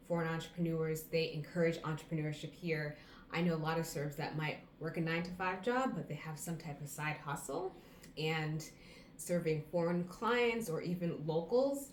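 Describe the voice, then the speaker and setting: An American woman in her thirties, talking casually to camera and giving earnest advice, listing things off one by one. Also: sits low. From United States. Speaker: vlogger. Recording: roomy echo, faint background hum.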